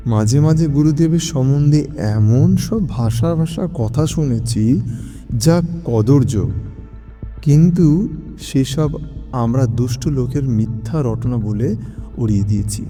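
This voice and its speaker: An actor playing a troubled male client in an audio story, recounting his past in measured literary phrases. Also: fairly high pitch, mellow. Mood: regretful.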